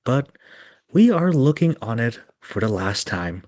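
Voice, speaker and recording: A man's voice: mid-range voice, big pitch swings, measured pace, darkish tone, no room sound. A man narrating a storybook dramatically as part of a group reading.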